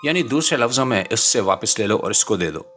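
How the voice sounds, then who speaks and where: Deep, forceful Pakistani male commentator. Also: expressive, fairly quick. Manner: indignant, dramatic rhetorical delivery with formal literary vocabulary. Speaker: commentator, channel presenter. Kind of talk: scripted political commentary voice-over.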